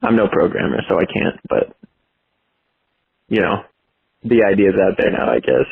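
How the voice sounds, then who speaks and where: A man's voice: lowish voice, expressive, normal speed, vocal fry, slightly rough. A young man leaving a rambling, casual voicemail, hesitant and informal as he thinks aloud. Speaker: voicemail caller. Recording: muffled tinny phone voicemail line.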